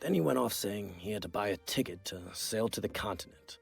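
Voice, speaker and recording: A man playing a gruff, shrewd trader in a game cutscene, with a gravelly, husky voice and an expressive bargaining delivery. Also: on the low side, brisk, faint music behind, heavily acted. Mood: shrewd, matter-of-fact. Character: gruff shrewd male shopkeeper trading coins and trinkets. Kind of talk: video game cutscene acting.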